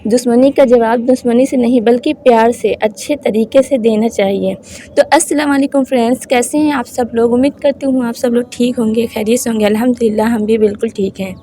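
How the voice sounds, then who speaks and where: High-voiced woman giving soft-toned religious advice. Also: steady tone, brisk, slight background noise. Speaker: host of Islamic advice channel. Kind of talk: informal religious talk to viewers.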